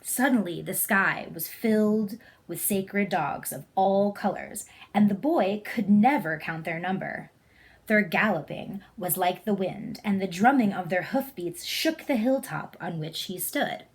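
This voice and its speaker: A woman reads a story aloud as its narrator. Her voice sits at a medium pitch, in a storybook read-aloud style. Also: expressive, measured pace. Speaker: storybook reader.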